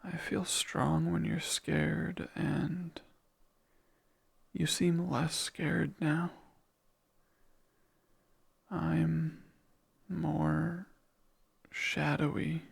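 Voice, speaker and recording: A man voicing a lonely, clingy male sleep paralysis demon in an ASMR roleplay, speaking in a soft, breathy whisper with slow, wistful musing. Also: a little high, little pitch movement, exaggerated voice.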